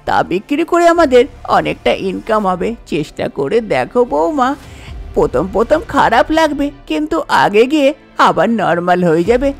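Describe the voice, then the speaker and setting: A woman's voice: on the high side, vocal fry, a little mellow, full character voice. Woman acting a coaxing, scheming village mother-in-law. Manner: coaxing, insistent.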